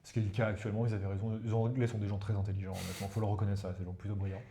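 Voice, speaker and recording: A man's voice: lowish voice, little pitch movement, rapid-fire, vocal fry, dark tone. A man from India, a Hindi speaker, explaining things as a guest expert in a casual interview and tagging his sentences with "you see". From India, Ganges plain. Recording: roomy echo.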